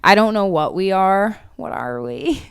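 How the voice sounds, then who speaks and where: A woman in her twenties co-hosting a podcast in casual chat, animated, swearing freely and acting out what others said. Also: medium pitch, a little slow, clear, resonant tone, no echo, clean studio sound. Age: 20s. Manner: animated, swears freely, laughs often, acts out quotes. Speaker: podcast co-host.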